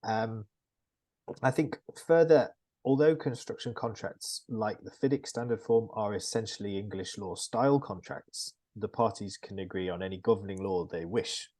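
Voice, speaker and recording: Husky man explaining in measured tones. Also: on the low side, dark tone. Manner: measured, explanatory, with frequent ums. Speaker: construction lawyer panelist. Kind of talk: webinar panel discussion.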